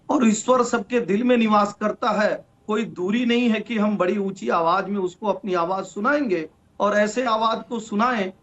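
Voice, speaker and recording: A high-pitched man speaks as a political spokesman. His delivery is formal and emphatic, and the remote feed sounds dull and narrow. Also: fairly bright tone. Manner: emphatic, declarative. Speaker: political party spokesman, debate guest.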